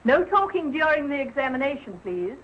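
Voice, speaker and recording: An actress playing a strict, prim night-school teacher with a formal, authoritative manner in a vintage sitcom. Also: female voice, high-pitched, big pitch swings, brisk. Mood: brisk, commanding. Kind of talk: 1940s television sitcom acting. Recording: muffled, hissy old 1940s broadcast.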